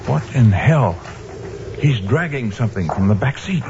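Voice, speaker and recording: An actor playing a brisk, wry homicide detective in an old-time radio mystery, gruff and commanding. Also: male voice, medium pitch, expressive, close to an everyday voice. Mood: tense. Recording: dull noisy old radio recording.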